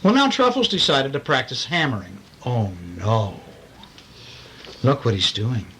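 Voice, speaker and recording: A man's voice: mid-range voice, wildly swooping pitch, unhurried, pushed and bright. A man, a father, reading a bedtime storybook to children with playful asides and acted-out touches. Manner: playful, acts out lines and sound effects. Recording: noisy amateur home recording.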